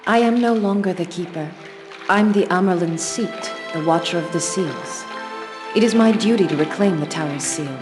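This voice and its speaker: An actress playing a young, dutiful and stubborn sorceress leader in a fantasy game, with a solemn, firm, formal delivery. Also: female voice, on the low side, moderate pace, natural voice. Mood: solemn, resolute. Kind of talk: dramatic fantasy game cutscene acting. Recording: game music behind the voice.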